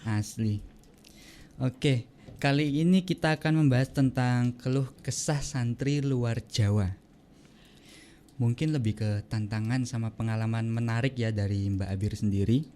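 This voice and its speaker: A young man hosting a podcast interview, relaxed and chatty, often repeating the guest's answers back. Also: medium pitch, a little slow, a little hiss or hum. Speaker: podcast host.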